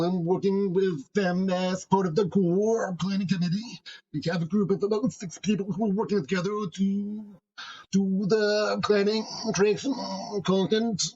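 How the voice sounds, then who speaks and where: A man's voice: sits high, darkish tone. A man in his forties from Edmonton, a deaf theatre artist, talking earnestly as a podcast guest and listing his work at length. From Edmonton, Canada. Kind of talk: interview conversation. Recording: slightly dull lo-fi audio.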